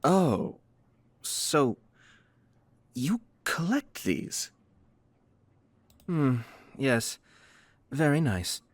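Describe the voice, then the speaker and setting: A courteous, composed gentleman from a video game. He speaks extremely slowly with expressive rises and falls, recorded close and dry. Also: on the high side, dry recording, full character voice. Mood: polite, intrigued. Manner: polite, deliberate.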